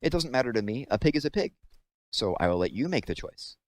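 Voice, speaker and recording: Guy doing a blunt pompous dad from a storybook, raised pitch, emphatic and dismissive. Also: sing-song, fast talker, natural voice. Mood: breezy, emphatic. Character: blunt, pompous middle-aged father of a marriageable daughter.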